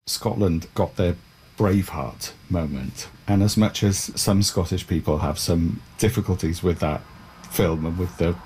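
A man's voice: deep voice, a little slow, a little mellow, a little hiss or hum, poor audio. A middle-aged man, talking reflectively and articulately as an interview guest, in a thoughtful storytelling way. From South Wales.

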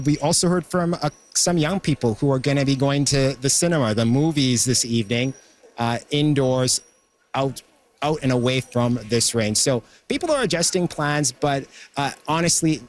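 A male field reporter delivers a live update from outdoors. He talks conversationally and briskly, tripping over words now and then, at an ordinary middle pitch. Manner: conversational, brisk, with fillers and restarts. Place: outdoors on location.

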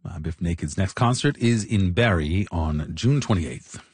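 Low-voiced man hosting a radio interview. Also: animated, measured pace, darkish tone, husky, dry recording. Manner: conversational, many fillers and restarts. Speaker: radio host.

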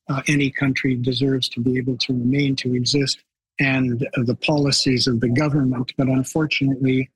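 A man speaking as a guest commentator in a radio discussion, critical and halting, with many fillers. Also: medium pitch, husky, dry recording, poor audio.

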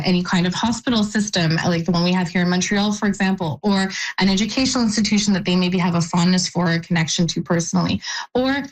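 A woman who organizes youth employment work, answering radio interview questions in a halting, measured way and often restarting her phrases. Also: medium pitch, low-quality recording.

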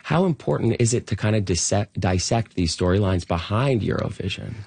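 A man with a deep, husky voice hosts an interview. He puts his questions in a conversational broadcast style. The recording is very dry, without room sound. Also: expressive, a little fast, darkish tone, dry recording. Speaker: interviewer, host.

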